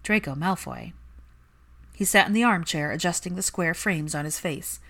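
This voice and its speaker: A woman's voice: lowish voice, moderate pace, fairly bright tone. A woman reading fan fiction aloud as a scripted story narration.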